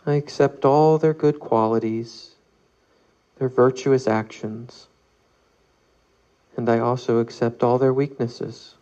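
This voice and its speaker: A man leading a guided meditation, reading aloud calmly in measured phrases with long pauses. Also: medium pitch, dull audio. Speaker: meditation leader.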